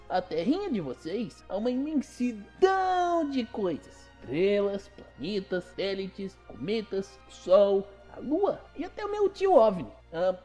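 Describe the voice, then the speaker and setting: Childlike, chirpy Brazilian mascot, very high and animated, audio-drama kids' guide. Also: female voice. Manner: bubbly children's presenter with a verbal tic. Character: cute small childlike planetarium mascot, cheerful and chatty. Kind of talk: scripted children's educational show in audio drama.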